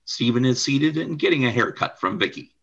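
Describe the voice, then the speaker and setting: Animated male narrator, bright and slightly husky. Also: mid-range voice, a little fast, highs cut off. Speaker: narrator and announcer. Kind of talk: scripted radio play narration.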